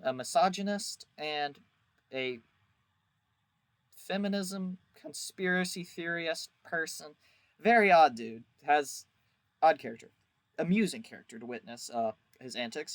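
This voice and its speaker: A man's voice: fairly high pitch, no room sound. A man giving a casual, rambling video review as host, with a crude, jokey, exaggerated way of talking.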